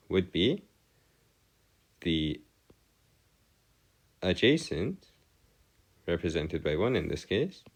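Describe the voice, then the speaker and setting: A man with a low voice teaches math at a slow pace. His intonation swings widely as he goes. He speaks in formal, measured phrases and pauses deliberately while explaining each step. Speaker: math tutor. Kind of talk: explanatory math lesson over worked diagrams.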